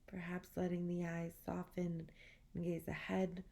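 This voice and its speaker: Slow, gravelly-edged woman guiding relaxation. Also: fairly low pitch, little pitch movement. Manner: soothing, gentle, measured with long pauses. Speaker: guided meditation instructor. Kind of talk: guided relaxation meditation.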